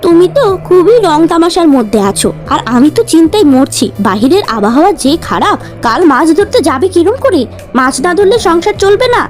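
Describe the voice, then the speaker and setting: A woman voicing a sharp-tongued, worrying fisherman's wife in a fairy-tale cartoon, exclamatory and quick to scold. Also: extremely high pitch, sing-song, faint music behind. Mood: nagging, worried.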